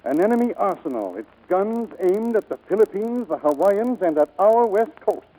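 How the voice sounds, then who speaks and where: An actor playing a grave, authoritative older admiral in a 1940s radio drama. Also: male voice, high-pitched, very animated, normal speed, mellow, rough recording. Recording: vintage 1940s radio recording.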